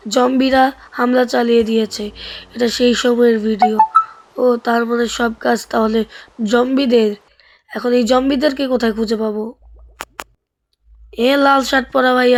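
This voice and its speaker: High-pitched Bangladeshi woman commentating gameplay. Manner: chatty, thinking aloud, asking questions. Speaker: gaming video host. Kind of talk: casual gameplay commentary with roleplay.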